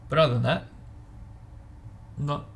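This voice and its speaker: A man's voice: on the high side, fairly even intonation, measured pace, vocal fry, slight background noise. A man chatting casually as host of a book discussion, talking in an informal, meandering way as he explains and speculates.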